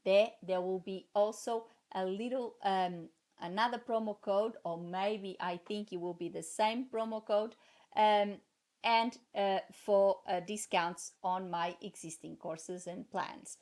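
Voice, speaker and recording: A woman with a Portuguese accent, a native Portuguese speaker, talking to her viewers in a casual vlog, halting with many fillers but passionate. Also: medium pitch, steady tone, a little mellow. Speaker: translator and vlogger.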